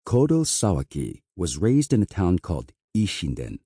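Man, very low voice, dark mellow tone, measured audiobook reading, dry studio sound. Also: huge pitch swings, slightly rough, dry recording. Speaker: audiobook narrator. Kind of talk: scripted audiobook narration.

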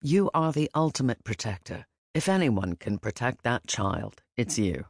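A woman voicing a gentle, protective older man who speaks softly and calmly, with a firm edge. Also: medium pitch, a little slow, dry recording, clean studio sound, voice pushed down. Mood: gentle, fatherly. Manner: soft, calm and fatherly.

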